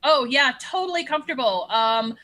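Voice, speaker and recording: A woman's voice: high voice, animated, moderate pace, sharp tone. A woman playing a tabletop game, casually improvising her character's words and actions.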